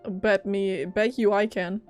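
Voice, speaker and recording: A woman's voice: mid-range voice, fairly even intonation, unhurried. A young woman giving casual live gameplay commentary, playful and teasing in manner. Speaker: game streamer. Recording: game music behind voice.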